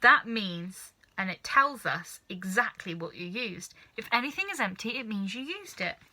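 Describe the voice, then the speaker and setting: Woman voicing a manic, excitable storybook dad, wildly swooping. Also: medium pitch, full character voice. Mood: delighted. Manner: exclaiming, urgent, swooping emphasis with drawn-out words. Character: manic, excitable middle-aged father, a farmer dreaming of riches. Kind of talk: children's storybook character reading.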